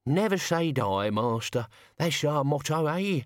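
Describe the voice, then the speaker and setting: A chirpy storybook sidekick, voiced by a male narrator, lifted above natural pitch. It talks in earthy, matey phrases, loyal and irrepressible, close and dry. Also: animated, normal speed, slightly rough, no echo. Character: cheeky, loyal servant hidden among sacks, chirpy, earthy.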